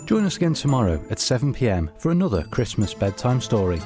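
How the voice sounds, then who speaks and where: A man's voice: mid-range voice, big pitch swings, quick pace. A man working as a radio announcer, reading scripted introductions to a story programme. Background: jingly music.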